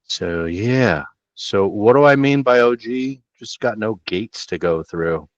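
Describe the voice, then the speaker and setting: A man's voice: lowish voice, animated, slow pace, no room sound. A middle-aged man hosting a real estate show, chatting casually and slangily through listings on a headset from a small room. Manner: laid-back, slangy, chatty. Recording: dull dry home recording.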